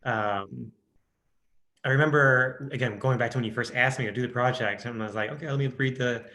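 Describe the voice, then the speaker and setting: A man's voice: medium pitch, steady tone, brisk, bright, forceful tone. Hesitant man with a Midwestern accent. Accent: Midwestern American. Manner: hesitant, many restarts and fillers. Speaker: audiobook narrator. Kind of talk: casual interview chat.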